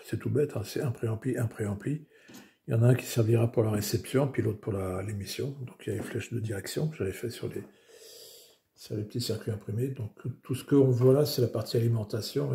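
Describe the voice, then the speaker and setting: Hoarse man with gravelly fry. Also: on the low side, warm tone. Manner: hesitant, many fillers and restarts. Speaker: amateur radio builder. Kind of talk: casual technical show-and-tell.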